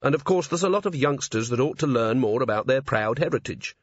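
A man's voice: mid-range voice, expressive, a little fast, dry recording, lightly acted. A man voicing an earnest, cheerfully oblivious young male watchman. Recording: slightly dull lo-fi audio.

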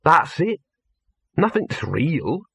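A man voicing a slow-witted, good-natured troll with ungrammatical working-class speech in an audiobook. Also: fairly high pitch, big pitch swings, gravelly, pushed and bright, breathy edge, no room sound, voice pushed up, full character voice. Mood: friendly, reassuring. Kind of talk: audiobook character voice.

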